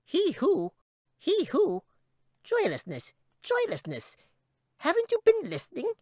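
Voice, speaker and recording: A man voicing a weary, cranky little male talking chipmunk with a squeaky voice in a fantasy audiobook. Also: wildly swooping pitch, measured pace, darkish tone, dry recording, studio quality, heavily acted. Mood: exasperated, scolding. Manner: scolding, gloomy warnings. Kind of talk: audiobook character voice.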